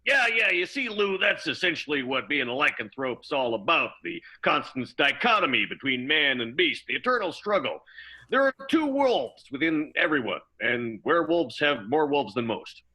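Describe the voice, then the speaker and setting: An actor playing an earnest, portentous interviewer in an improvised comic radio play. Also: male voice, on the high side, big pitch swings, moderate pace, sharp tone, slightly rough, heavily acted, rough recording. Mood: dramatic, probing. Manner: theatrical, probing, portentous.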